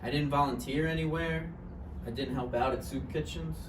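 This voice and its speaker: A man's voice: fairly high pitch, fairly even intonation, normal speed, lightly acted. A man playing a gloomy, wry old failed novelist on his deathbed in a live table read of a stage play. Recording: echoey noisy room, far from mic.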